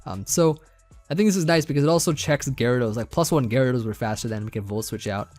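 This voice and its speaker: Relaxed rambling young man, slightly hoarse. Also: medium pitch, fast talker, dry recording, faint music behind. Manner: relaxed, rambling, many fillers and restarts, light laughs. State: getting hoarse. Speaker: competitive Pokemon team builder. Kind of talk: casual gaming commentary over team building.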